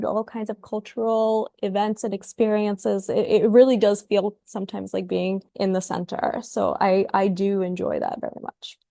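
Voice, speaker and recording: A woman's voice: mid-range voice, warm tone, no echo, studio quality. A woman from Ukraine, a guest psychologist in a podcast interview, answering thoughtfully and often repeating words as she starts her sentences.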